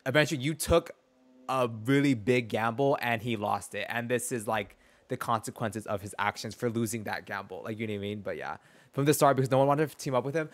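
A young man chats to his stream about a story game. His voice is medium in pitch. He is expressive, sassy and full of slang, speaking close to the mic. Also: brisk. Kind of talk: casual chat and reaction to a story game. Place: small dim room indoors.

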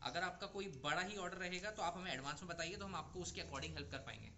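Fast-talking male wholesale seller. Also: high voice, noisy background. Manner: persuasive, reassuring sales patter. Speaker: wholesale seller presenting stock. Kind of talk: product showcase pitch to retailers. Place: clothing warehouse or shop.

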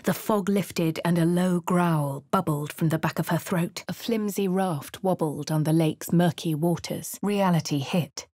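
An actress playing a posh female adventurer from a video game, narrating her deeds with a husky voice in a posh English accent. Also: fairly low pitch, a little slow, few pauses, a little mellow, dry recording, clean studio sound. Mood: measured. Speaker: player character voice. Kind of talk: game voice lines, first-person narration.

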